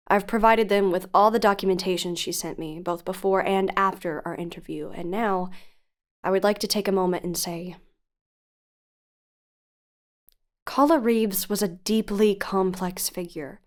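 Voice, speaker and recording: A woman acting a composed investigative podcast host in an audio drama. She speaks at an average pitch, measured and earnest. Her narration is frank and carefully phrased. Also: natural voice. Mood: solemn.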